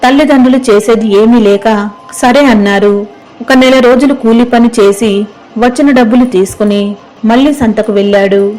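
Casting: female narrator for scripted moral tales, moderately high voice, storytelling read. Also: steady tone, normal speed, a little mellow, low-quality recording.

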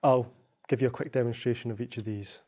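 A young man narrating a software tutorial in a measured, explanatory way. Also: mid-range voice, steady tone. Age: young adult. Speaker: software demonstrator.

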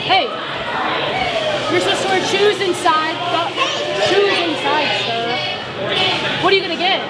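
A woman's voice: extremely high pitch, animated, a little slow, heavy background noise. A woman chatting casually at a family gathering, talking to a small child in a playful, coaxing way. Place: indoor brewery taproom. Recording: loud crowd and music in a busy venue.

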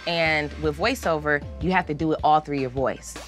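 A woman's voice: sits low, normal speed, soft background music. An American woman in her thirties, casually hosting a challenge show as its host and coach. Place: podcast studio.

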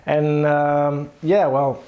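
A man's voice: fairly high pitch, little pitch movement, drawn-out words, highs cut off. A Dutch man in his thirties, a guest telling stories in a casual interview, talking loosely with lots of fillers and restarts, seated in a living room. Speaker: guest, onboard reporter. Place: living room at a dining table.

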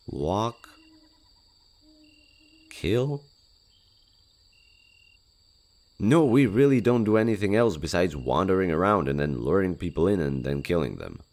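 A man voicing a shy, gentle skinwalker monster in an audio roleplay, speaking hesitantly with innocent curiosity about humans. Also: extremely low pitch, vocal fry, full character voice. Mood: matter-of-fact. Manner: hesitant, halting, innocent wonder. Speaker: voice actor. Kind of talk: intimate audio roleplay, one-sided dialogue.

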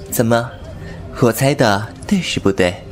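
A man's voice: medium pitch, big pitch swings, slow. A man playing a fox spirit painter who narrates his story in a languid, teasing manner, with music behind him. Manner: languid, wry and teasing, laughs softly. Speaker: narrator and lead. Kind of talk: Chinese audio drama acting. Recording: over background music.

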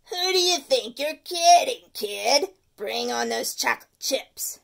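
A woman doing a loud greedy talking tooth. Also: high voice, lively intonation, slow pace, vocal fry, full character voice. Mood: pushy. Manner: loud, pushy, wheedling. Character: greedy wisecracking male sweet tooth.

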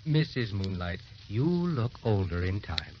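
An actor playing a confident, affectionate, teasing husband in an old radio drama, jocular and reassuring. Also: male voice, on the low side, big pitch swings, unhurried, a little hiss or hum. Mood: teasing, fond. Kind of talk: 1930s-40s radio drama acting. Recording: muffled old radio recording with music.

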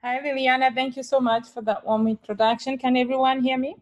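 A woman with a Kenyan accent, a nurse educator giving a friendly webinar presentation over a video call, often pausing on filler sounds. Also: high-pitched, flat delivery, dull audio.

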